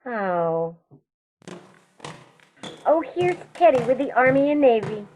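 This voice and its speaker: A woman's voice: on the high side, sing-song, slow pace, a little mellow, slight background noise. A woman playing a sweet, genteel, chatty elderly aunt in a radio comedy. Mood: fussing, kindly. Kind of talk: radio comedy theatre acting.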